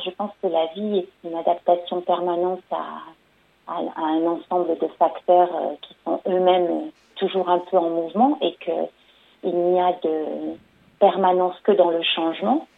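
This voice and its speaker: A woman's voice: lowish voice, steady tone, a little slow, creaky. A woman storyteller answering interview questions, hesitant, with many fillers and restarts. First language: French. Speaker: storyteller and performer. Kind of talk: radio interview. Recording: phone-line audio.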